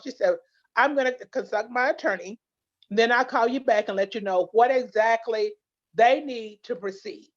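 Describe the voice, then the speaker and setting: A woman, a guest in an interview, telling earnest stories with many restarts. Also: mid-range voice. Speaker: guest, legal plan representative. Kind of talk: interview conversation. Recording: dull lo-fi sound.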